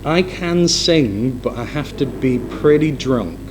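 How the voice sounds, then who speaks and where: Relaxed Mancunian man teacher. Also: medium pitch, takes it slowly, noisy background. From Manchester, England. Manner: relaxed, self-deprecating humor. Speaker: English teacher. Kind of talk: casual live chat.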